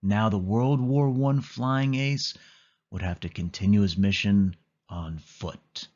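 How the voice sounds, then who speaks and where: A man's voice: on the low side, slow, a little mellow, dull audio. A man narrating a children's storybook as a scripted read-aloud.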